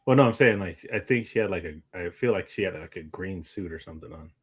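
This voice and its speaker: A man's voice: deep voice, lively intonation, fast talker, fairly bright tone, slightly rough. A man co-hosting a movie discussion, chatting casually in a rambling way as he searches for words. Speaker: co-host of a movie discussion show. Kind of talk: casual chat.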